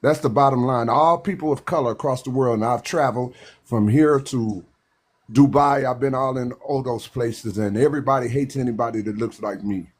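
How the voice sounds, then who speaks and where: Husky emphatic man. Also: medium pitch. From Texas. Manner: emphatic, repetitive, halting restarts. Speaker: panel speaker. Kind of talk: impassioned live panel discussion.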